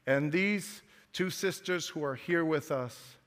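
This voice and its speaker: A man's voice: fairly high pitch, slow pace, fairly bright tone. A man, a pastor, introducing guest speakers to his congregation in a conversational preaching manner. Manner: conversational, calls for congregation response. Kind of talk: sermon introduction. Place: church sanctuary. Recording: echoey church hall.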